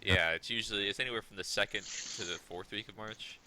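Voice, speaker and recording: A man's voice: on the low side, quick pace, pushed and bright, low-quality recording. A man co-hosting a podcast, talking casually and often restarting his sentences. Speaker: podcast co-host. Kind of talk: casual discussion.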